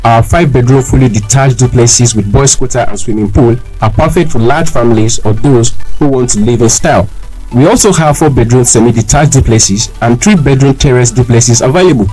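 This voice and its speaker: A man's voice: mid-range voice, fairly quick, warm tone, husky, soft background music, lightly acted, rough recording. A man as a polite company representative in a scripted radio advert interview. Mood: polished, promotional. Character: polite male estate company representative selling homes.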